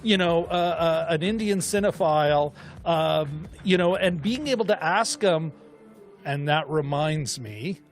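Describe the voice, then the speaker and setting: A man co-hosting a film discussion show, chatting casually in a rambling, animated way as he thinks aloud. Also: fairly high pitch, unhurried, soft background music. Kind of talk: casual chat.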